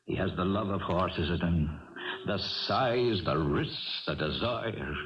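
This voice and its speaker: An actor playing a small, excitable, folksy horse trainer and dreaming schemer in a 1970s radio drama, with stammers and exclamations. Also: male voice, low voice, takes it slowly, recorded from a distance, background noise. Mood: earnest, persuasive. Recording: dull vintage radio recording, off-mic, background clamour.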